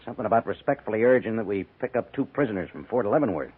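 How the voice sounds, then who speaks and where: A wary army major with a low, husky voice. He talks fast and wryly, as a commander in a 1950s radio western, on a thin, tinny recording. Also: lively intonation. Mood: brisk, skeptical.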